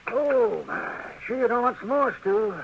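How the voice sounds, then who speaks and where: A man's voice: extremely high pitch, a little slow, vocal fry, narrow, tinny audio, exaggerated voice. A man playing a crotchety, dismissive town doctor in a radio western, with a folksy, flustered, stammering way of talking. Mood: folksy, eager. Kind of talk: 1950s radio western acting. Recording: noisy old radio recording.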